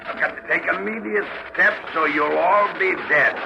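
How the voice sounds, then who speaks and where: High-pitched, obsessed tyrant sea captain. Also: male voice, normal speed, gravelly, background noise. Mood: stern, commanding. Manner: commanding, imperious, ranting. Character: obsessed, half-mad tyrannical male ship's captain. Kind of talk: 1940s radio acting. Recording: old radio drama with loud organ music.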